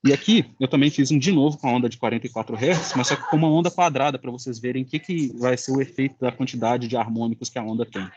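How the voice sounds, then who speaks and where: A young Brazilian man giving an informal explanatory presentation as a student, often halting to search for words. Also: medium pitch, darkish tone, highs cut off, a little hiss or hum. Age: young adult. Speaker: student presenting final project.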